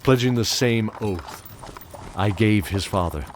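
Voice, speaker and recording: A low-voiced old knight acted by a man recounts his past in an audio drama. He is fatherly and tender, reflective and a little halting, as if weighing each memory. Faint ambience sits behind him. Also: a little hiss or hum, close to an everyday voice. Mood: warm, fond. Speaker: narrator and knight character.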